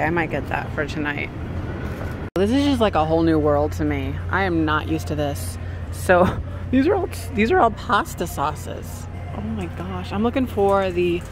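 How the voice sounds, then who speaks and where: A woman with a slightly low voice talks in a casual vlog style. She thinks aloud in an informal, exclamatory way. The handheld recording carries clear ambient noise behind her. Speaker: vlogger. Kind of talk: casual vlog chat to camera. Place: indoors, empty house rooms and a supermarket. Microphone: handheld phone or camera, held out. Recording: clear background noise, handheld camera audio.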